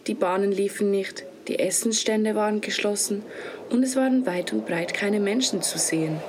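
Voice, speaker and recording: A woman's voice: medium pitch, moderate pace, noisy background. A teenage girl with a Swiss German accent reading the narration of a student radio play. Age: teens. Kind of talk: scripted narration.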